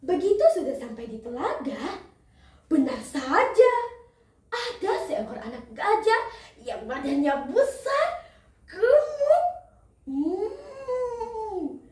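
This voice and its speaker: Very high-pitched young female storyteller. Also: big pitch swings, a little mellow. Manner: dramatic, drawn-out emphasis. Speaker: storytelling contestant. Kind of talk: theatrical children's fable storytelling. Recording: echoey room, distant microphone.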